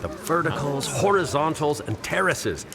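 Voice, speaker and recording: A man's voice: on the high side, very animated, normal speed, gravelly, over music. A man playing an earnest, jokey longtime bus tour guide, talking in enthusiastic informative patter in an audio drama. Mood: upbeat, informative.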